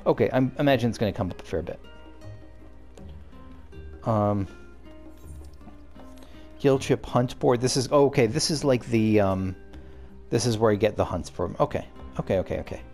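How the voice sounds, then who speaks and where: A man's voice: mid-range voice, moderate pace, long pauses, a little mellow, faint music behind. A man casually commenting while playing a game, rambling and cutting himself off as he thinks aloud. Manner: rambling, self-interrupting, musing aloud. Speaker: let's play host.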